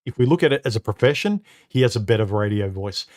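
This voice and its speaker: A man with a deep, somewhat muffled voice hosting a live stream from home into a microphone, talking casually and haltingly, repeating words and filling pauses with uh and um. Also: lively intonation, a little mellow. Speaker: live stream host reading comments. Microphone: studio microphone.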